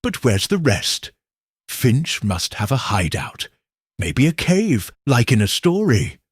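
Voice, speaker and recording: A man voicing an excitable, well-off village lady who talks in a gushing, eager way. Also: medium pitch, slow pace, husky, dry recording, studio quality, full character voice. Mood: excited.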